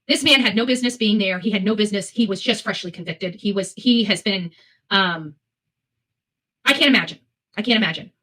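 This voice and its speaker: A woman's voice: mid-range voice, brisk, pushed and bright. A woman hosting live opinion commentary, speaking bluntly and emphatically, repeating phrases for weight and swearing freely. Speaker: host of a live commentary show.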